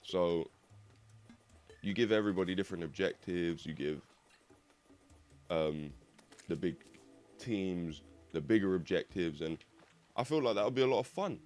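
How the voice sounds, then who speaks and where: Deep-voiced croaky young man. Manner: rambling, swearing, casual. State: slightly croaky voice. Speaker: gaming video host. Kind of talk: rambling casual monologue over gameplay.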